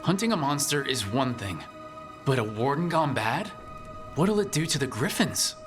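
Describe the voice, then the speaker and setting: A young video game hero, played by a man, steady and wry, who talks directly and plainly. The voice has a medium pitch. Music is clearly audible behind him. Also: moderate pace, natural voice. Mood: concerned. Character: steady, wry young male adventurer leader, reassuring.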